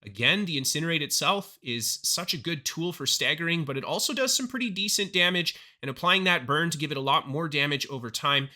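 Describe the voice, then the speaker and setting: A young man with a voice a little hoarse from illness, talking casually over his gameplay footage as a gaming YouTuber. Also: fairly high pitch, fairly quick, hardly any pauses. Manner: upbeat, excited over big plays.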